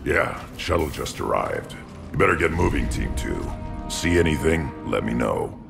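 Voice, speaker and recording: An actor voicing a young male krogan warrior, brash and proud, with a gravelly voice and a gruff, blunt way of talking. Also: extremely low pitch, sing-song, moderate pace, in a large hall, loud music behind the voice, exaggerated voice. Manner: gruff, blunt soldier talk. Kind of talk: video game voice acting.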